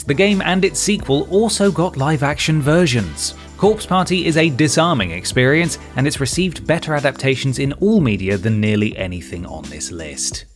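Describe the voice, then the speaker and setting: A man presenting a scripted countdown list in an informative narrating style. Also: medium pitch. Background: background music.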